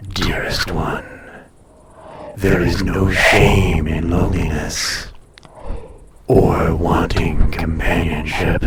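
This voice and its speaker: A man playing an ancient dragon familiar in an intimate roleplay audio, tender, possessive and teasing, soothing and often dropping to a breathy murmur. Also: deep voice, roomy sound, recorded from a distance. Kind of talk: intimate roleplay audio drama, personal attention.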